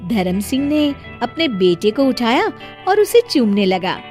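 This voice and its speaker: A woman narrates a children's story in a slightly high voice, lively and animated in pitch. She takes her time over the scripted lines, with music playing softly behind her. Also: a little slow.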